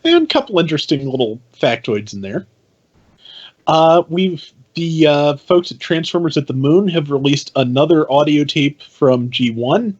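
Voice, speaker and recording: Guy doing a casual news rundown on a podcast, highish voice, bit lo-fi. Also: expressive, normal speed, husky.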